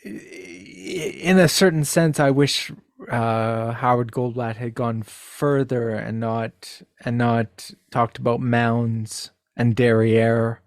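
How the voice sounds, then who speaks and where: A man with a gravelly, creaky voice talks slowly in a very dry recording. He is a halting, wry guest who searches for his phrases and puts things bluntly. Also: medium pitch, steady tone, no room sound. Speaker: podcast guest, translator. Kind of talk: casual discussion.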